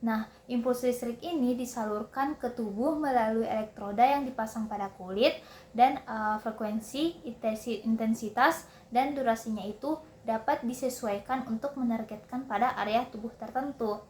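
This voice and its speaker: A young woman giving a prepared instructional presentation as a student, haltingly, often repeating and correcting words. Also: on the high side, a little mellow, slight background noise. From Indonesia. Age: young adult. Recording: roomy, echoing indoor sound.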